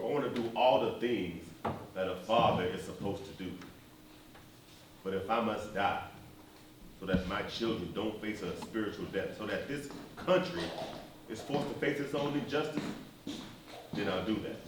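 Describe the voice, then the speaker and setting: A distant, heavily echoing stage recording with faint noise carries a male actor as a solemn male preacher. His voice is fairly low, and he speaks with patient dignity and earnest, deliberate phrasing. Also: close to an everyday voice. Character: solemn, patient preacher leading a nonviolent movement. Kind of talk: theatrical stage acting, measured oratory. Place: stage performance, recorded from far off.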